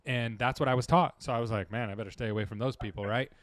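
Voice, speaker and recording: A man's voice: medium pitch, fairly even intonation, quick pace, no echo. Earnest man in a dry close sound. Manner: earnest, rambling, full of restarts. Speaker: dental marketing guest. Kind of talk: casual interview chat.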